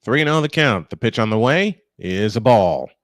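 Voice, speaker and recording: A man's voice: lowish voice, big pitch swings, moderate pace, dry recording, studio quality. A man calling a baseball game live as play-by-play announcer, with conversational, opinionated asides. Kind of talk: live sports commentary.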